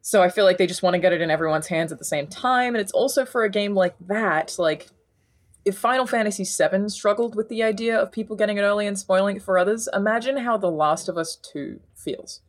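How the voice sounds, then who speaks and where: A young adult Australian woman with a fairly deep voice, talking in a casual podcast chat and laughing often. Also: brisk. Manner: laughs often, chatty and animated. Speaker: podcast co-host.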